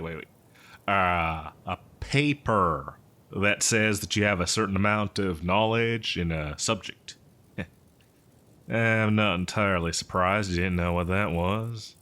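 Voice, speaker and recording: A man's voice: deep voice, pushed and bright, full character voice. A man playing an eccentric, cheerful botanist who survived from before the apocalypse, rambling hesitantly in a folksy roleplay monologue. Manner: hesitant, rambling, folksy, with chuckles and drawn-out words. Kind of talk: roleplay audio drama monologue addressed to listener.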